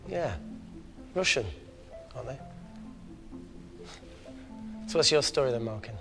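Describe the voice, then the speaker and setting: A cocky male doctor with a mid-pitched voice and a wry, throwaway delivery. He is blunt and sardonic, in naturalistic game-film style, with prominent music behind. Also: lively intonation, a little slow, vocal fry. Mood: wry, casual. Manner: wry, offhand. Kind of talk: naturalistic screen drama acting.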